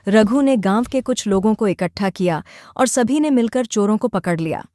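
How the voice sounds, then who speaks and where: Female storybook narrator, mid pitch, dry close sound. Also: no room sound. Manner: steady, measured storytelling.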